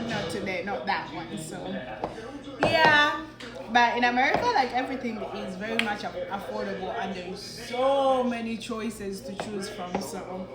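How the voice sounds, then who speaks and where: Chatty Ugandan-raised woman baking at home. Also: mid-range voice. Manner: chatty, thinks aloud while working. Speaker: baking vlogger. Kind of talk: casual kitchen vlog talk. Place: home kitchen. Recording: roomy kitchen with background noise.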